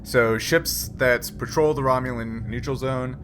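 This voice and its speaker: A man running a tabletop roleplaying game as game master, improvising his narration haltingly as he thinks aloud. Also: mid-range voice, fairly even intonation. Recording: noisy room ambience.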